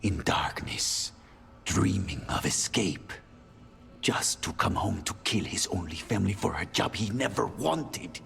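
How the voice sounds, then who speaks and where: Deep, gravelly, bitter assassin. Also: male voice, expressive, unhurried, a bit bright, in a whisper, heavily acted. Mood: needling, brooding. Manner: needling, brooding, rhetorical questions. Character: bitter, doom-saying man of an assassin family. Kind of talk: dramatic video game acting. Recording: music behind the voice.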